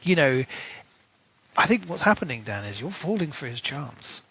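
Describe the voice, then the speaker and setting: A man co-hosting a book podcast, his voice sitting slightly higher than most men's. He talks in an animated, casual way and slips into short imitations of the characters as he makes his points. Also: breathy edge, no echo, low-quality recording. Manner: animated, acts out characters' lines in quick asides. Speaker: podcast co-host.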